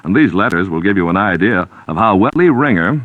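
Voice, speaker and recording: A dry, slightly dull vintage radio recording of an American male announcer. He sells in polished 1940s style, emphatic and carefully enunciated, with a very animated pitch. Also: fairly quick, no echo. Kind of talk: 1940s radio commercial read.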